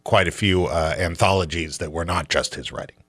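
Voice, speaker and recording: A man's voice: low voice, a little fast, a bit bright, no echo. A man hosting a radio show in a casual, colloquial, opinionated and joking conversational style.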